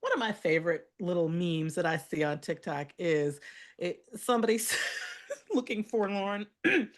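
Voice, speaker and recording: A woman co-hosting a comedy show, telling stories in an animated way and building to punchlines. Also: on the low side, normal speed. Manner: animated storyteller, builds to punchlines, laughs often. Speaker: comedy podcast co-host. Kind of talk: storytelling comedy banter.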